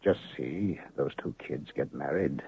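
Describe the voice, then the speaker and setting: A gravelly weary old gambler-doctor. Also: male voice, rumbling low, measured pace, full character voice. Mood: dying. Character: weary honest gambler doctor, older man, gentle. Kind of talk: 1970s radio drama acting. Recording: tinny lo-fi old radio broadcast.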